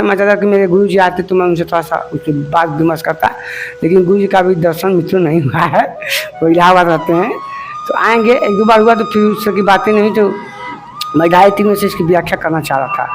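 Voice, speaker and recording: A middle-aged man speaks in a noticeably high voice as a spiritual teacher explaining devotional verses. He rambles and circles back, repeating points and calling out to his listeners as friends. Soft music plays faintly underneath. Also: fast. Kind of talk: informal devotional talk to viewers.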